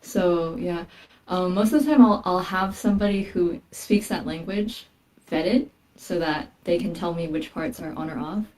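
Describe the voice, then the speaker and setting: A woman's voice: on the low side, moderate pace, darkish tone. Korean-born woman singer, a bit distant. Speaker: guest musician. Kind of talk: casual interview talk.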